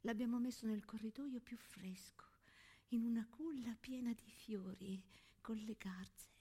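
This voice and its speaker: A woman playing a tender, grieving young wartime woman who confides in her diary in a breathy, hushed voice. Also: fairly high pitch. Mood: sorrowful, tender. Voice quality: breathy, half-whispered. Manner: hushed, slow, intimate. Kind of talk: theatrical monologue set to music. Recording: stage monologue with music behind.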